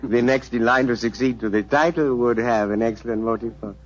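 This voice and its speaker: An actor playing a suave, courtly Indian prince with a formal, ornate and smoothly deferential manner, in 1940s radio style. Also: male voice, medium pitch, steady tone, brisk, a little hiss or hum, lightly acted. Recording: muffled 1940s radio recording.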